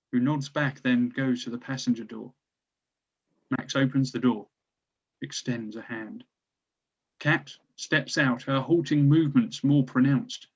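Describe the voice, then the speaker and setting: A man's voice: mid-range voice, darkish tone. A man narrating scripted stage directions at a table read. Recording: slightly dull, lo-fi recording.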